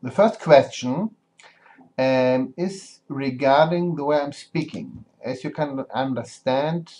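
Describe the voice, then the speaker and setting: A man's voice: mid-range voice, lively intonation, slow, a little mellow. German man asking a careful question. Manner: careful, hesitant non-native English. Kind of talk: recorded video question.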